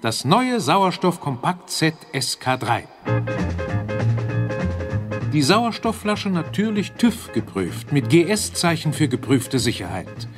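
An actor playing a slick commercial announcer in a radio drama, over loud swing music. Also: male voice, fairly high pitch, sing-song, takes it slowly. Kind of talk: advertising spot.

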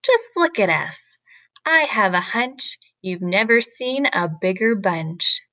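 A woman's voice: mid-range voice, big pitch swings, takes it slowly, pushed and bright, full character voice. A woman voicing a big, crusty, menacing male crocodile from a storybook, boastful and emphatic in rhyme. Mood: smug. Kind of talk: storybook character reading.

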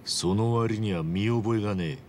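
A man voicing a brash, hot-blooded, good-humoured hero in a video game, talking in rough, punchy street slang. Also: lowish voice, moderate pace, a little hiss or hum, lightly acted. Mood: measured, wry. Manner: rough, informal, punchy street-tough phrasing. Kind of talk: dramatic video game voice acting.

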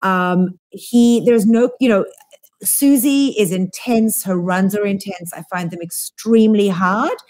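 A woman's voice: medium pitch, a little slow, a little mellow. Chatty South African woman on a call. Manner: chatty, lots of ums, enthusiastic. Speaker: co-host. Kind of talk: casual chat. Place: small room at home. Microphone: webcam on a video call.

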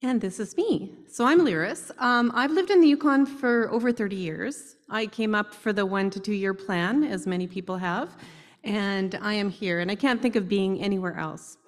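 An older woman from Saskatchewan talks through her own slides on a video call, personal and halting with ums and uhs. Her voice sits at a middle pitch. A long, roomy reverb trails her words. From Saskatchewan, Canada. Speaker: health research chair.

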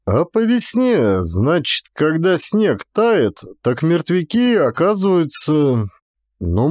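A man's voice: fairly high pitch, darkish tone, no echo, studio quality, voice pushed up. A man voicing a plain-spoken village coachman in an audiobook, telling things in a folksy, rustic way.

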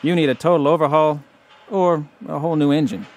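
An actor playing a blunt, folksy car mechanic with a drawn-out delivery in an audio drama. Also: male voice, on the high side, fairly even intonation, a little mellow, lightly acted. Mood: blunt, regretful. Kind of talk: family audio drama acting.

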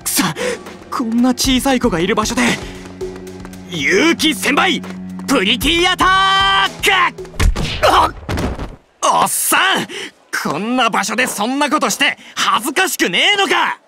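A man's voice: extremely high pitch, very animated, a little slow, over music, exaggerated voice. An actor playing an earnest, hot-blooded young man who is easily flustered, in a Japanese anime-style voice drama. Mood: righteous anger.

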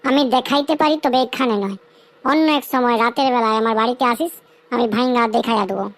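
Woman doing a cheeky cartoon buddy, high pitched, crude slangy teasing. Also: fast, close to an everyday voice. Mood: sly, teasing. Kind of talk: Bengali comedy cartoon dialogue.

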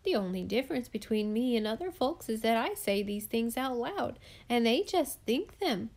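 A woman voicing a sharp-tongued, gossiping eccentric woman who mocks her neighbours in church loudly and with scorn. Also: fairly high pitch, very animated, moderate pace. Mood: smug. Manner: loud, derisive, scornful.

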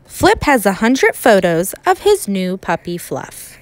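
A woman teacher reading a children's storybook aloud in an expressive, emphatic way with dramatic pauses. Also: mid-range voice, no room sound.

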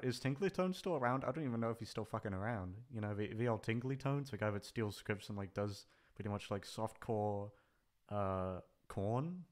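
A man chatting casually in a rambling, hesitant way, swearing freely as he goes. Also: mid-range voice. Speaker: ASMR voice actor, channel creator. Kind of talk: rambling casual farewell chat.

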